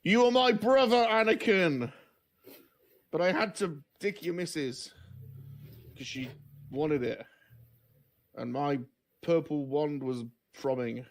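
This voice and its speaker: Man acting slow, higher-pitched male confessor, mock-dramatic parody delivery. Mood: mock solemn.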